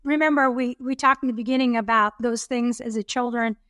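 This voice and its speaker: A chatty, encouraging woman in her fifties, co-hosting a casual podcast. Her voice sits high and bright in pitch, recorded close and dry with no room sound. She talks warmly to listeners like a friend. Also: fairly even intonation, brisk, dry recording. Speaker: podcast co-host. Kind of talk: casual chat.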